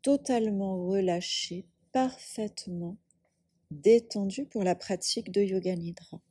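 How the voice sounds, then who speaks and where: A woman leads a guided meditation at a very slow, unhurried pace. Her voice is soft and breathy, with calm instructions given in short phrases and long pauses between them. The sound is dry, with very little room around it. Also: mid-range voice, no room sound. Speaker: yoga nidra teacher.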